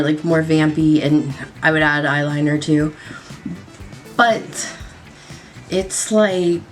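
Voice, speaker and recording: A young woman with a low voice talks through her makeup routine in a loose, rambling way, full of fillers. She still sounds a little nasal after a cold. Faint music plays behind her. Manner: rambling, lots of likes and ums. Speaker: beauty vlogger. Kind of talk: casual chat while doing makeup. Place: home at night.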